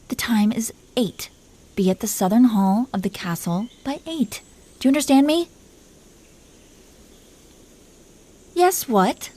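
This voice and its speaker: A woman's voice: medium pitch, mellow, natural voice. A woman playing a commanding, playful, possessive dragon queen in an intimate roleplay audio drama. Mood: stern, commanding. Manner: imperious, playful, teasing.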